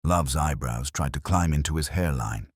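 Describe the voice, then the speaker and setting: A man reading a genteel mystery story as narrator, in a measured, precise, literary manner. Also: extremely low pitch, slightly rough, dry recording, clean studio sound.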